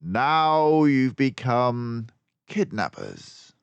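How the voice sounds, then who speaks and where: Storybook mouse sleuth, male reader acting: gentlemanly, formal, pitched well up, slow declamatory delivery. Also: wildly swooping pitch, creaky, clean tone, dry recording, highs cut off, exaggerated voice. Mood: stern, calm. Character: stern, calm, gentlemanly male mouse detective hero.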